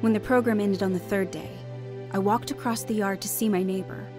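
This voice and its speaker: A woman playing an earnest, anxious young wife seeking faith in a radio drama, heartfelt and expressive. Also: medium pitch, fairly quick, music in the background, lightly acted. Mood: reflective narration. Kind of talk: radio drama acting, dialogue and narration.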